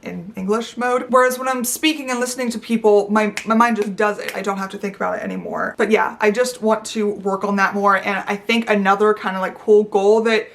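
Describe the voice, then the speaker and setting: A woman's voice: mid-range voice, fairly even intonation, brisk, hardly any pauses, slight background noise. A young woman talking to her viewers in an unscripted, rambling vlog chat with playful asides. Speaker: YouTuber. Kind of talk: unscripted casual chat to camera.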